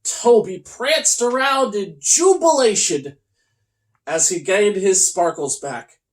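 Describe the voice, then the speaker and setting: A host reading a comic children's storybook aloud in a theatrical, mock-earnest way with deliberate pauses. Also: mid-range voice, lively intonation.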